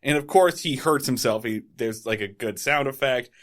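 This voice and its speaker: A man's voice: mid-range voice, normal speed. A man co-hosting a casual podcast, recapping a sitcom episode with jokes and asides. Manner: animated, jokey recapping of an old sitcom episode. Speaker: podcast co-host.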